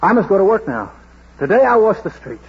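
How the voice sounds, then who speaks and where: An actor in a vintage radio drama playing a proud, bossy but tender street washer who speaks emphatically. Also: male voice, high-pitched, very animated, brisk, heavily acted. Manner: emphatic, declamatory, commanding. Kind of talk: 1940s radio drama acting. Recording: husky, dull old radio drama recording.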